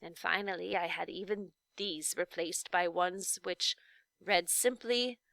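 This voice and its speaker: Storybook role: kindly old lady witch, performed by a woman, measured and formal, creaky edge. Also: fairly low pitch, no room sound. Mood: wistful, measured. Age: older. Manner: gentle, deliberate, formal. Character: kindly, dignified old lady, a not-so-wicked official witch. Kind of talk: children's storybook read-aloud.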